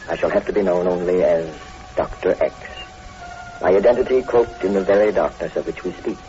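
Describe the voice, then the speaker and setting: An actor playing a mysterious horror host, narrating solemnly and theatrically in 1940s radio drama style. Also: male voice, deep voice, warm tone. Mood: ominous, formal. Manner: solemn, ominous, theatrical, formal. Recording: muffled old radio recording, faint music.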